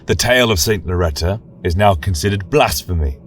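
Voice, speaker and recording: A man's voice: low-pitched, expressive, a little fast. A man voicing an imperious paladin of a fantasy church, giving cold, formal commands in an audio drama. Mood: stern, commanding. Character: stern, imperious male holy paladin silencing heretics.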